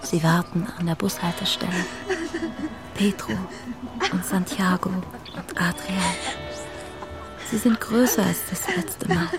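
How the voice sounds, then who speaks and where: A woman's voice: on the low side, unhurried, long pauses. An actress playing an anxious, tender working mother in a radio drama, hesitant and wistful, with music underneath.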